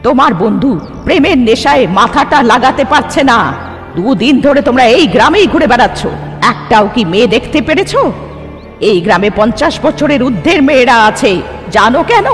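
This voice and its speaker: Man voicing a high-pitched, ominous village aunt. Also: expressive, fairly quick, a bit bright. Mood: challenging. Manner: ominous, forbidding. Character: stern old village aunt giving grim warnings. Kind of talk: animated horror cartoon acting. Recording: long hall reverb over music.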